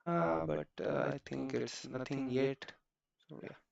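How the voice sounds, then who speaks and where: Indian male trading streamer, non-native English, flat gravelly voice, casual, dull roomy mic. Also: mid-range voice, a little hiss or hum. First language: not English. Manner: halting, many fillers and restarts. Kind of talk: casual talk while studying charts. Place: home.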